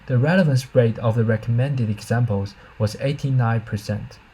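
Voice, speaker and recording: A man's voice: medium pitch, normal speed, warm tone, husky, low-quality recording. A man reading a scripted academic presentation as a researcher, in a careful, formal manner with slight stumbles.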